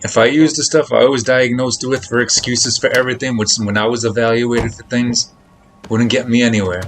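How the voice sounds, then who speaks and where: A man's voice: medium pitch, steady tone, brisk. A man chatting casually on a live game stream, speaking in a blunt, admonishing, repetitive way. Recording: noticeable background noise.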